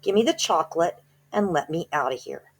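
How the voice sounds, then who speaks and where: A woman voicing a rude, greedy young boy who talks loud and whiny in a storybook reading. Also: lowish voice, animated, fairly quick, husky. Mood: demanding.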